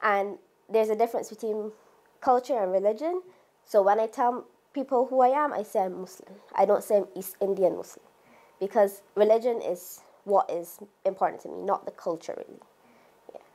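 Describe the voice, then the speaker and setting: A woman's voice: mid-range voice, moderate pace, dark tone. A young adult woman from Barbados with a Barbadian accent, speaking about herself as an interviewee in a documentary.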